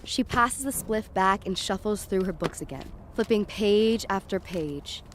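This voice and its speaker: A woman's voice: medium pitch, expressive, unhurried, slight background noise, lightly acted. A woman playing a frightened, sceptical, foul-mouthed young woman in a first-person audio drama. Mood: uneasy, wry. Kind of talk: first-person present-tense audio drama narration and dialogue.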